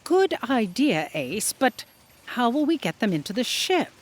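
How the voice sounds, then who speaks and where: A woman's voice: a little high, sing-song, a little slow. A woman playing an imperious, impatient boss in a comic sci-fi audio drama, bossy, curt and dismissive. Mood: brisk authority. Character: imperious, impatient female editorial boss of destiny bureau.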